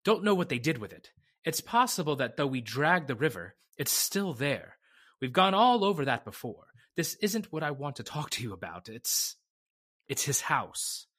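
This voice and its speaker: A man playing a grave, confiding lawyer in an old-time radio drama, speaking formally and deliberately. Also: a little high, sing-song, a bit bright. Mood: uneasy. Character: grave, confiding male lawyer settling an estate.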